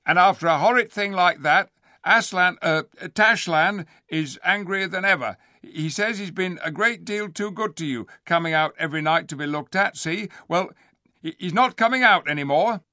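A man voicing a crafty, bullying male ape who talks high, loud and mocking. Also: normal speed, no room sound, clean studio sound. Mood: jeering.

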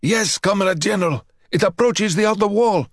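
A man's voice: sits high, moderate pace, dry recording, clean studio sound, voice pushed up. A man voicing a nervous young marksman reporting to his commander. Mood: dutiful.

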